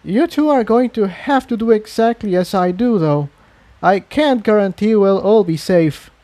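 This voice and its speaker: A man's voice: high-pitched, animated, moderate pace, dark tone, no room sound, pitched above the performer's own voice. A man voicing a timid but capable young female pony who sneaks about, in an audiobook-style story reading. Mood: nervous, firm.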